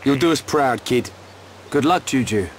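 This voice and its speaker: A man's voice: mid-range voice, animated, moderate pace, slight background noise, lightly acted, rough recording. A man playing a brash, friendly young fighter in a video game cutscene. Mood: brash encouragement.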